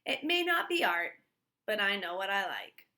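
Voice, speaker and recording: A fussy male museum director from a storybook, voiced by a woman. The voice is bright and lifted, with a prim, bossy way of instructing. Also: medium pitch, sing-song, normal speed. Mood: self-satisfied.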